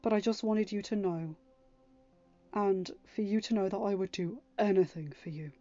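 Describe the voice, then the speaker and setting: A woman's voice: medium pitch, moderate pace, dark tone, dull audio, faint music behind, natural voice. A woman voicing a devoted young royal man, fiercely protective and emphatic, in a storybook reading. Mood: tender, earnest. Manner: emphatic, stern, near a growl when angry.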